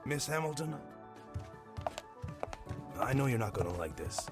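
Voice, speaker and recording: A man's voice: mid-range voice, very animated, a little slow, music in the background. A man acting a friendly, teasing, reasonable shopkeeper in an audio drama. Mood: reasoning. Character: friendly, teasing, reasonable male manga shop keeper.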